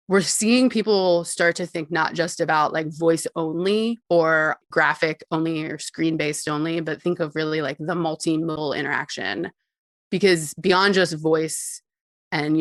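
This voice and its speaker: A woman with a notably low voice talks as an interview guest. She explains things thoughtfully and conversationally, with casual fillers like "like". The sound is close and dry. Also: fairly bright tone, no echo. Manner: thoughtful, articulate, peppered with "like" and small chuckles. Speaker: interview guest, tech company CEO and co-founder.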